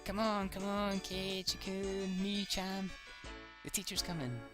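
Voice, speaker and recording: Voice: medium pitch, little pitch movement, unhurried, music in the background, voice pushed up, lightly acted. The reader voicing a cute, playful teenage schoolgirl who eagerly scolds friends, in a visual novel read-aloud. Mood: urging.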